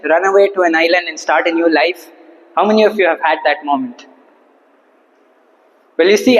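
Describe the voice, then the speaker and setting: A young man giving a humorous prepared speech to an audience, with a theatrical, rhetorical delivery and deliberate pauses. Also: sits high. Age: young adult. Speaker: club speaker at Toastmasters. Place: indoor hall, speaking to a seated audience. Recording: reverberant hall.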